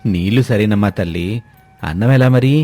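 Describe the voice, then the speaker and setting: A man's voice: fairly low pitch, a little fast, dark tone, no room sound, faint music behind, voice pushed down, lightly acted. A man voicing a hungry, puzzled family man in a cartoon story, complaining and questioning.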